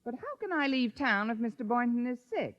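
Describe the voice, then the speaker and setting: An actress playing a wisecracking, sardonic high school English teacher in a 1950s radio sitcom, with dry, crisp comic timing. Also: female voice, big pitch swings, fairly quick. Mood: dismayed. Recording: dull lo-fi 1950s radio recording.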